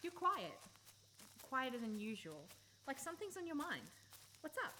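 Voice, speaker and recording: Animated, bright Australian woman acting a blunt friend. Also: high voice, normal speed, heavily acted, rough recording. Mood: teasing, casual. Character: blunt, teasing young woman, bird-watcher, quick to snap. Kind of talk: naturalistic radio play acting. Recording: live recording with faint background noise.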